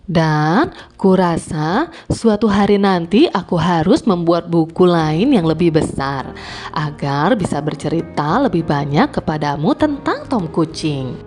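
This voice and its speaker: A woman narrating a scripted fairy tale for children in an expressive, deliberate read-aloud manner. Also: on the low side. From Indonesia. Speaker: children's storyteller. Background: music.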